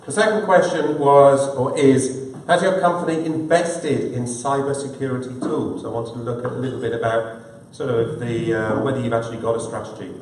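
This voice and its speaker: A man's voice: medium pitch, fairly even intonation, normal speed, hardly any pauses, darkish tone. A man hosts a live conference session, stumbling loosely through fillers as he introduces the next speaker. He is picked up from a distance, and his voice rings around a big reverberant hall. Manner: loose, hesitant with many fillers. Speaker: conference moderator. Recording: echoey hall, distant mic.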